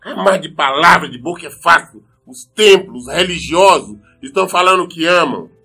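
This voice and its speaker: A man's voice: fairly high pitch, animated, moderate pace, gravelly, sharp tone, breathy edge, faint music behind. A man with a Brazilian accent preaching an earnest, admonishing sermon, stressing his points with emphatic repetition. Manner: emphatic, repeats phrases for stress. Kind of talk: sermon with scripture reading.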